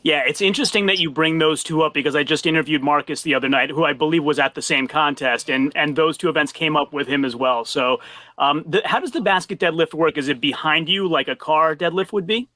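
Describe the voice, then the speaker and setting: A man's voice: on the high side, a little fast, sharp tone, dry recording. A man hosting an interview over a video call, friendly and curious, with frequent affirmations. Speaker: podcast host, interviewer.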